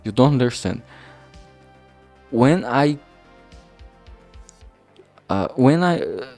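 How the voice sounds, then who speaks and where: A man talking casually and haltingly in a rambling vlog, informal and self-deprecating, with some swearing. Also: mid-range voice, animated, darkish tone. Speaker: indie visual novel creator and vlog host. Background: background music.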